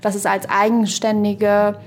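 A woman's voice: mid-range voice, monotone, measured pace. A woman co-hosting a podcast in casual discussion, searching for words, rambling and trailing off mid-thought. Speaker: podcast co-host. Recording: voice over background music. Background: music.

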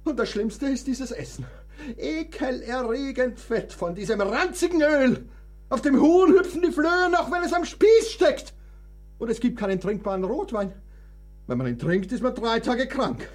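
A man's voice: very high voice, expressive, measured pace, darkish tone, full character voice. An actor in a radio play voicing a sickly male composer who complains with bitter, theatrical sarcasm. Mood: bitter, self-pitying.

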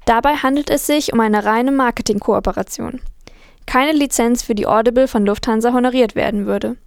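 A woman's voice: on the high side, brisk, gravelly, smooth, no echo, clean studio sound. A woman reading scripted quotations for a news show. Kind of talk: scripted read-aloud.

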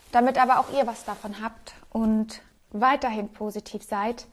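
Upbeat female influencer, played by an actress, fairly high voice, addressing followers as in a social media story. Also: natural voice. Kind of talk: social media video address in an audio collage.

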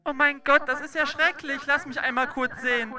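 A woman's voice: high-pitched, fairly even intonation, brisk, sharp tone, big-room sound. A woman playing a naive, questioning young heroine from a hidden cave people in an amateur audio drama. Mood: surprised.

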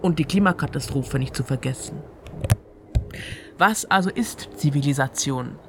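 A woman's voice: low-pitched, moderate pace. A woman hosting a free radio show in an informal, conversational monologue, speaking directly to the listener. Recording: clear background noise, outdoor ambience. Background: ambience through an open window.

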